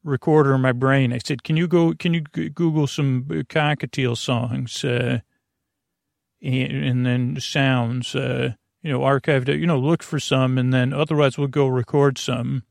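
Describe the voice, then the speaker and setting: A man hosting a bedtime podcast, talking through a long, meandering, unhurried intro meant to ease listeners toward sleep. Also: medium pitch, steady tone, vocal fry, dry recording, clean studio sound. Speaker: podcast host.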